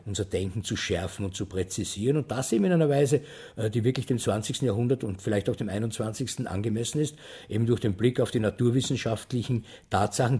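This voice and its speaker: Older man, very husky voice. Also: fairly low pitch, dark tone. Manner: eloquent, emphatic, with long articulate sentences. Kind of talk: interview commentary.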